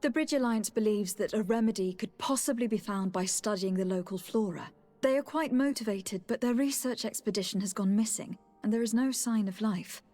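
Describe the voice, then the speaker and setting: A woman's voice: medium pitch, warm tone, soft background music, lightly acted. Woman as a composed, diplomatic young noblewoman. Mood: composed, reporting. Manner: measured, formal, polite. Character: composed, diplomatic young noblewoman, loyal envoy to her cousin. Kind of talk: video game cutscene acting.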